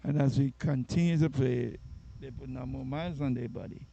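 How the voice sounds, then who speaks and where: A man commentating a youth soccer match, chatty and quick to laugh with his co-commentator. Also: mid-range voice, gravelly, warm tone, highs cut off. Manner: chatty, laughs easily, trades banter with co-commentator. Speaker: sports commentator.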